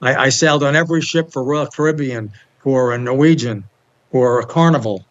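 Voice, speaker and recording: A man's voice: mid-range voice, fairly quick, muffled recording. A man in his seventies from Long Island with a New York accent, chatting as an interview guest and wandering through his memories. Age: 70s. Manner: rambling, restarts and searches for names. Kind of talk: casual interview.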